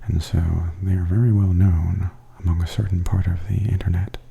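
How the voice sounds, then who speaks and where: Very mellow, low, near-whispering man. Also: dull audio. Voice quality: breathy, often near-whispered. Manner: gentle, unhurried, observational. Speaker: vlogger giving a walking tour. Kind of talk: softly spoken ASMR commentary. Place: walking through a theme park and its indoor attractions. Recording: steady background noise and ambience.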